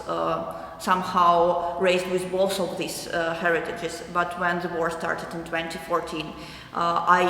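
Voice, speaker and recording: A young adult woman from eastern Ukraine with a Ukrainian accent, answering interview questions thoughtfully with many hesitant pauses. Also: fairly low pitch, a bit bright. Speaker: artist interviewee. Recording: roomy hall with long echo.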